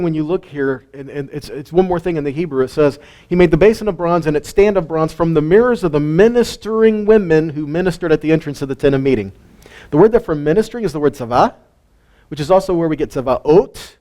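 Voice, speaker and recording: Animated man teaching Bible lessons. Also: fairly high pitch. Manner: animated, asks rhetorical questions, jokes with listeners. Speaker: teacher of a weekly Torah portion.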